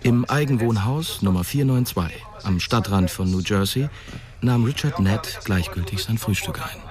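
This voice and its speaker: Lowish male radio drama narrator. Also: animated, moderate pace, music in the background.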